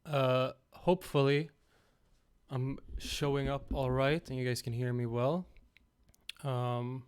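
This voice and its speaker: A young man hosting a casual game-creation livestream from home on a headset, slightly raspy after being ill. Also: mid-range voice, slow. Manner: relaxed, rambling, talks to chat.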